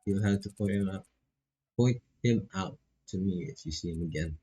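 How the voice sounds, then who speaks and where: An eager, talkative teenage girl roommate from a visual novel, played by a man. The voice is low, husky and mellow. The delivery is casual and friendly. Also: moderate pace, soft background music. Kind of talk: visual novel dialogue read aloud.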